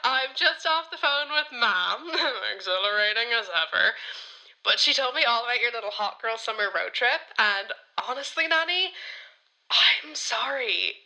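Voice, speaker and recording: A woman's voice: fairly high pitch, animated, a little slow, bright, forceful tone, full character voice, rough recording. A woman playing a cheeky, confessional young Irish granddaughter, rambling theatrically on a radio comedy-drama voicemail. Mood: teasing, gleeful. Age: young adult.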